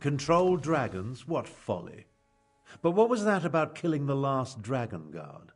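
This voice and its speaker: An actor playing a haughty, scholarly battlemage in a fantasy video game, with a dry, pompous, deliberate delivery. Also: male voice, medium pitch, sing-song, faint music behind. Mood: scornful, intrigued. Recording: long hall reverb.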